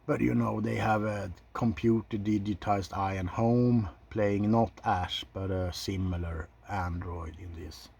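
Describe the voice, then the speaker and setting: Slow, husky, low-pitched man. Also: steady tone, a little mellow. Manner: blunt, opinionated, casual swearing, halting. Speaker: video host reviewing films. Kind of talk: ASMR film ranking, unscripted commentary.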